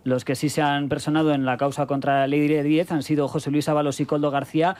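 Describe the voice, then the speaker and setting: Male radio news reader, slightly high voice. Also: flat delivery, a little fast, a little mellow. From Spain. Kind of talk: reading news summaries.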